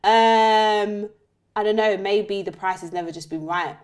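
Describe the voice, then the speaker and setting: A woman's voice: medium pitch, unhurried. Chatty young London woman co-host. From United Kingdom. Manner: chatty, frank, acts out quoted lines. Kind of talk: casual chat.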